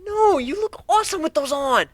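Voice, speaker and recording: A man's voice: very high-pitched, expressive, normal speed, dry recording, voice pushed up. A man voicing a brash, sarcastic, taunting and exuberant male griffin pirate captain in a dramatic story reading. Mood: gleeful.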